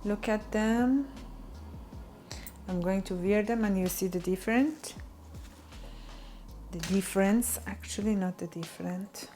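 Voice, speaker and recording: Slow, enthusiastic woman with a Persian accent. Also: mid-range voice, dark tone, faint music behind. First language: Farsi. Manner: enthusiastic, gushing, non-native phrasing. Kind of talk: casual product showcase.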